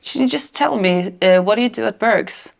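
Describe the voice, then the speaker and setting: A woman's voice: medium pitch, normal speed, vocal fry. A woman interviewing a guest in a playful, teasing, casual way.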